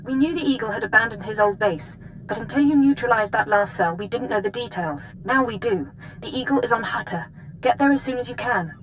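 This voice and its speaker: A woman plays an intelligence analyst in a sci-fi game. Her voice is a little high, and she briefs with efficient, precise, businesslike delivery. A faint layer of noise sits behind it. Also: fairly quick, lightly acted, low-quality recording. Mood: urgent, businesslike. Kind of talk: scripted video game dialogue.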